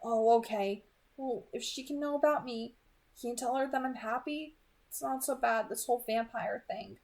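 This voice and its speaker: A woman reading aloud the part of an earnest, gentle young male vampire in a soft, tentative way. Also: a little high, normal speed, creaky. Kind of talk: amateur audiobook reading.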